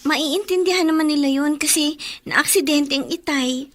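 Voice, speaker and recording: A woman's voice: sits high, moderate pace, lightly acted. An actress playing a polite daughter who begs to visit her father in a radio drama. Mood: pleading. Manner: polite, pleading.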